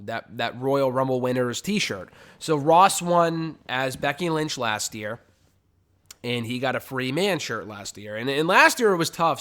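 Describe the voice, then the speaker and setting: A man's voice: medium pitch, a bit bright. A man in his twenties hosting his own web show, sounding congested from a cold, chatting in a rambling way and swearing casually. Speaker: podcast host. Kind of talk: casual solo webcast.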